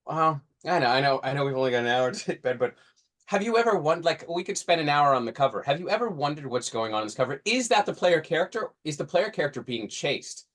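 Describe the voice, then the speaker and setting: A man's voice: on the high side, animated, fast, a bit bright. A man in his forties co-hosting a podcast in casual discussion, rambling and often restarting mid-thought. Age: 40s. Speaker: podcast co-host.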